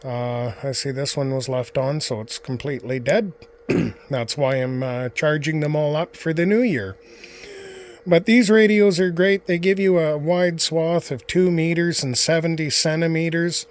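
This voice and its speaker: A man's voice: fairly high pitch, fairly even intonation, unhurried, a little hiss or hum. A man casually showing off his handheld radios, rambling with lots of "uh" and "you know". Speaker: ham radio hobbyist vlogger. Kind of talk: casual show-and-tell talk.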